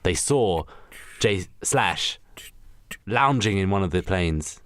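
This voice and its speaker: A man's voice: low voice, expressive, slow pace, fairly bright tone, no room sound. A man narrating an improvised comedy radio play in a theatrical, emphatic storytelling manner. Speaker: podcast narrator.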